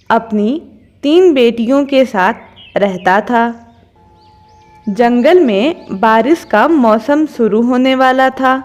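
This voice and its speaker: A woman's voice: fairly high pitch, darkish tone, highs cut off. A woman narrating a scripted children's story as its storyteller.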